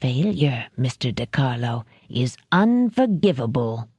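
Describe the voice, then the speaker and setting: A refined, sadistic crime boss with a low, hushed voice that sinks well below the reader's own, as a woman narrator puts him on. He speaks with soft, clipped menace in a dry, close audiobook recording. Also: sing-song, a little slow, slightly rough, no room sound, heavily acted. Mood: cold menace.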